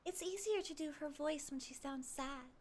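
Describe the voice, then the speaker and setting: A woman's voice: high voice, normal speed, darkish tone. A woman streamer giving casual, playful commentary during a game playthrough. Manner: playful, mimics game lines, hums to herself. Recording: game music behind the voice.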